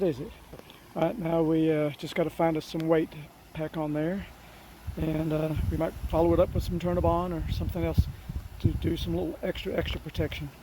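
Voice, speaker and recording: A fairly high-voiced man talks through a roof repair in a folksy, rambling way, restarting his sentences as he works. His voice is rough from a cold. A faint hiss sits behind him outdoors. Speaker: DIY vlogger. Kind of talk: casual narration while working.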